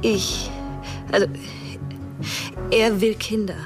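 Casting: actress as earnest, lovelorn woman, medium-pitched, direct, intimate radio play dialogue with music behind. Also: little pitch movement, slow, natural voice. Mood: wistful. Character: wistful, questioning woman still in love with her ex.

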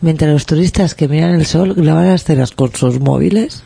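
A man's voice: on the high side, brisk, vocal fry, warm tone, husky. A man co-hosting a radio talk show, giving indignant, ironic commentary in a casual discussion. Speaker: radio co-host.

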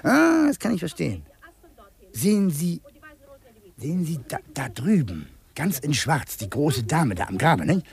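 An actor playing a chatty, nosy old cemetery gardener in a 1950s German radio drama, husky and rambling. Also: male voice, a little high, wildly swooping pitch, a little slow, full character voice. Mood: eager, confiding. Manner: garrulous, teasing, rambling.

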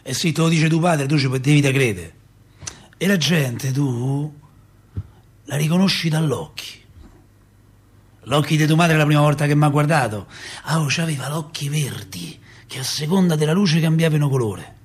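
A man's voice: fairly high pitch, normal speed, close to an everyday voice. An actor playing a working-class Roman father in a radio play, telling his memories in a colloquial, repetitive, emphatic way with a Roman accent. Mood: tender, nostalgic. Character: working-class Roman father recalling 1970s street politics, wistful, impassioned. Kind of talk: radio theatre monologue.

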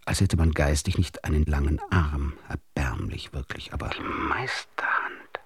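A man acting a brooding figure in a literary radio drama monologue, obsessively deliberating in halting mutters that often sink into breathy whispers. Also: very deep voice, heavily acted. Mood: hushed, absorbed.

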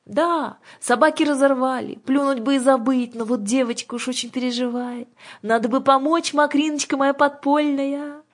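Женщина играет властную и хитрую ведьму-гадалку из книги, говорит грубовато, театрально и таинственно. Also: female voice, high voice, fairly even intonation, normal speed, voice pushed up, natural voice. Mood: brisk, scornful. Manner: brusque, mysterious, colloquial. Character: bossy, cunning witch fortune-teller woman, gruff and theatrical.

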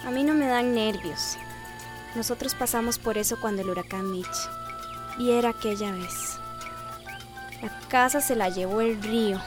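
Radionovela: actriz como joven criada centroamericana, humilde y sencilla, voz aguda, música claramente de fondo. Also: female voice, fairly high pitch, normal speed, long pauses, music in the background, natural voice. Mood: matter-of-fact. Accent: Central American. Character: humble, plain-spoken, hopeful young housemaid. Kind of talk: radio soap opera acting.

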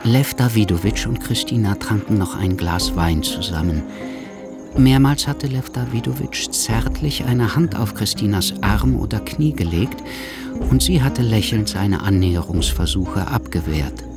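A man's voice: on the low side, measured pace, over loud music. A man reading scripted literary narration for a German radio drama. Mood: measured narration. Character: literary narrator of an exiled revolutionary's life.

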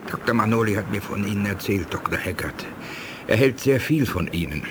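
A dignified male alien from an advanced civilisation, acted by a man for a 1980s German radio play. He is ailing yet calm, speaking low with measured, formal assurance. Noisy machinery rumbles behind him. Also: close to an everyday voice. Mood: calm, courteous.

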